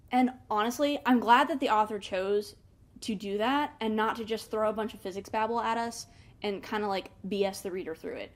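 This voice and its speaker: A woman hosting a book review in a casual, chatty way, with animated emphasis and wry asides. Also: mid-range voice. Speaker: book review show host.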